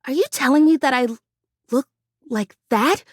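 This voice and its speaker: A woman's voice: high voice, normal speed, husky, no room sound, clean studio sound. A woman voicing a bubbly, scatterbrained young plastic houseplant in a video game, chatty and gushing. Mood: dismayed, wistful. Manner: gushing, chatty, easily distracted, exclamatory. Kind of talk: animated video game character acting.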